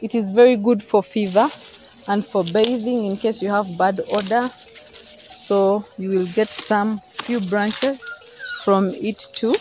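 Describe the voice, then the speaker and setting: A woman's voice: mid-range voice, steady tone, slow pace, noisy background. Young woman vlogging outdoors. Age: young adult. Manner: chatty, explaining plants to viewers. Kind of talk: casual vlog walkthrough. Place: outdoors in a rural field. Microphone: phone camera, often held at arm's length.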